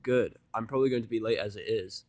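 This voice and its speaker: A man voicing a gruff, cynical, blunt human who speaks curtly and grudgingly. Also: lowish voice, quick pace, a little mellow, no echo, lightly acted. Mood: grumpy. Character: gruff, cynical, blunt human living reluctantly among ponies.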